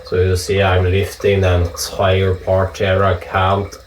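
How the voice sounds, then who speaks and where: A man with a Norwegian accent chatting casually while he shaves, joking and swearing in relaxed asides. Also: low voice, unhurried, background noise. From Norway. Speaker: vlogger shaving with a straight razor. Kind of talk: casual talk while shaving. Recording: echoey room with dripping water.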